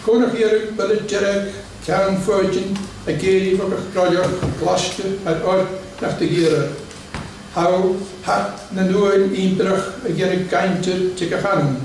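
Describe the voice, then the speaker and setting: High-voiced man reading poetry in echoey church. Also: darkish tone, slight background noise. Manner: measured, declamatory reading with brief spoken asides. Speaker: poet. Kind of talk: poetry reading before an audience. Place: large church hall. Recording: echoey church hall from far off.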